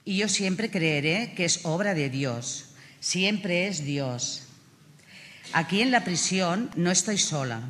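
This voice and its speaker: A woman's voice: fairly low pitch, lively intonation, takes it slowly, a bit bright. Catalan woman testifying in an echoing hall. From Catalonia, Spain. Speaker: prison inmate giving testimony. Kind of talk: prepared personal testimony. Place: speaking to an assembly in a prison. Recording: reverberant large hall, public address.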